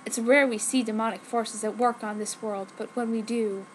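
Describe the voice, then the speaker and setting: A woman voicing a kindly middle-aged male priest in a fanfiction story, speaking gently and formally. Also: a little high, fairly even intonation, normal speed, a little hiss or hum, lightly acted. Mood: grave.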